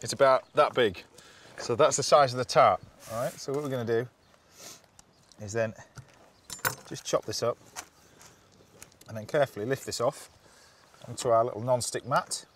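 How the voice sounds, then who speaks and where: A man, a TV chef chatting through a cooking demonstration outdoors, instructive and quick to laugh. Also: medium pitch, fairly bright tone. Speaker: TV chef host. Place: outdoors at a campsite.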